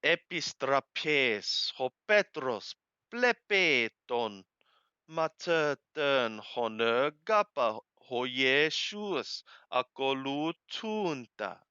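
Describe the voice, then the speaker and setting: A man reading scripture aloud phrase by phrase and translating literally, with deliberate, halting, emphatic phrasing. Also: mid-range voice, animated, pushed and bright, clean tone, dry recording, dull audio. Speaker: Bible reader and translator.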